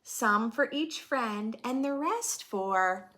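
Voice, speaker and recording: A woman reading a children's storybook aloud in a dramatic, playful teacher's way, pausing to put questions to the listener. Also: on the high side, expressive, sharp tone. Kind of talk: expressive storybook read-aloud with teaching asides.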